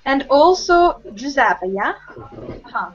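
A young woman with a high voice teaches a group English class over a video call. She talks slowly and patiently, asking questions one by one. The webcam sound is muffled. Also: big pitch swings, crowd noise. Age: young adult. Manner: slow, patient, encouraging questions. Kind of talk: online group language lesson. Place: home room with bookshelves.

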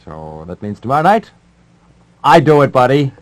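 Man, 1950s radio announcer, playful, mock-serious, very varied pitch, bantering. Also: big pitch swings, moderate pace.

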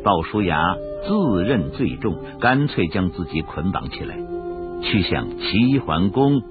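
A male narrator telling a historical radio drama in measured, scripted narration. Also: deep voice, big pitch swings. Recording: tinny phone-like audio with music.

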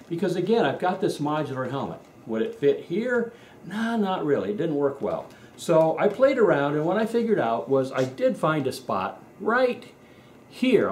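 Middle-aged American man, higher-than-average voice with lively inflection, conversational how-to presenter walking through steps. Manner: conversational, step-by-step explaining. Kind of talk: casual explanatory demonstration. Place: indoors in a home garage workshop. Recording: echoey garage with background noise.

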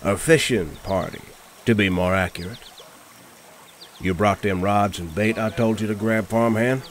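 A man playing a gruff, bossy, secretly soft-hearted grump in a roleplay audio drama, grumbling and curt. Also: fairly low pitch, measured pace, slight background noise, lightly acted.

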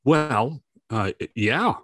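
A man's voice: medium pitch, expressive, extremely slow, fairly bright tone, no room sound. An older man with a North American accent giving casual sports commentary as a co-host, repeating words for emphasis. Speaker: podcast co-host. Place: home room on a video call. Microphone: desk microphone close up.

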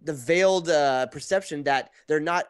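A man's voice: a little high, moderate pace, smooth, no room sound. A young adult man co-hosting a podcast, mixing wry jokes with firm rebuttals, on a studio mic in a small room. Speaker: podcast co-host. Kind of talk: casual opinion chat on soccer. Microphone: studio mic on arm, headphones.

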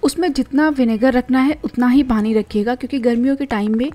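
A woman's voice: high voice, fairly even intonation, fairly quick, warm tone. A young Indian woman narrating her home cleaning vlog, chatty and explaining household tips step by step. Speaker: home vlogger.

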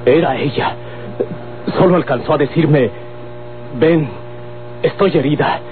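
A man's voice: a little high, lightly acted. A Mexican man playing a sober, respectful younger man reporting to his boss in an old radio drama. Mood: grave, shaken. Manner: measured, respectful. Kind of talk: Mexican golden-age radio serial acting. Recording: noisy, phone-like old radio recording.

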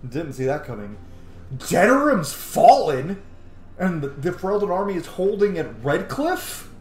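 A man's voice: sits high, a little mellow. A man in his thirties giving casual, sweary game commentary, thinking aloud as he plays. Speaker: game streamer. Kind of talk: casual roleplay commentary over gameplay. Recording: echoey room.

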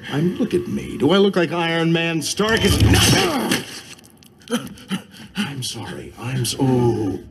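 A man's voice: fairly high pitch, huge pitch swings, slow, over loud music, full character voice. An actor playing a menacing male robot villain with a mocking, theatrical manner and sudden outbursts, heard on a film soundtrack. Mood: erratic. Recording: film soundtrack with loud score.

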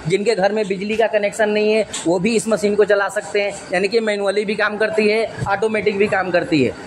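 A young man pitching machinery to camera from a small workshop, explaining earnestly and repeating his points. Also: steady tone, a little fast, background noise. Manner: earnest, repetitive explaining, gestures with hands. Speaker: machine seller presenting.

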